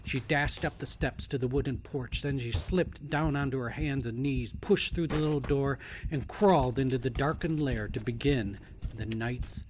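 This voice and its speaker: A man reading a novel aloud as a dramatic narrator, in a hushed, deliberate and suspenseful way that at times nears a whisper. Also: mid-range voice, slight background noise. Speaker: author reading own novel. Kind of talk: dramatic audiobook-style story narration.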